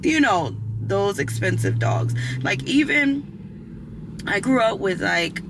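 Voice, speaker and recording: A hoarse, gravelly woman chats slowly and loosely to her camera, pausing to clear her throat. Loud traffic rumbles behind her outdoors. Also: wildly swooping pitch. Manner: chatty, rambling with many fillers and throat clearing. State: hoarse, recovering from lost voice. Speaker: vlogger. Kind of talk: casual chat to camera.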